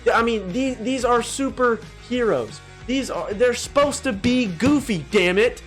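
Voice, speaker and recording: Young dude, pretty high voice, swings all over, casual joking gamer rant, bit of music behind. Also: male voice, measured pace. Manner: animated, joking, mildly profane. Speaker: gaming video creator. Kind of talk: casual opinionated ranking commentary.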